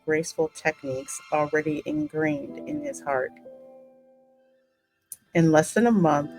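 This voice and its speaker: Woman gamer reading out story, lowish voice, casual comments, bit of music behind. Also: darkish tone, poor audio. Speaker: gaming channel host. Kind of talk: scripted narration with casual asides. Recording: music under the voice.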